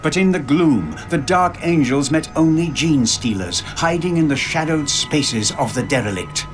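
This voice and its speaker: An actor playing a grave war chronicler, narrating a video game in a formal, portentous manner. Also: male voice, on the high side. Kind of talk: scripted dramatic game narration.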